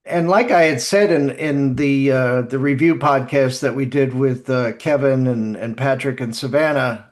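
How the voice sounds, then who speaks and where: A man hosting a podcast in casual discussion, speaking in a halting way and repeating phrases as he goes. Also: mid-range voice. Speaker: podcast host.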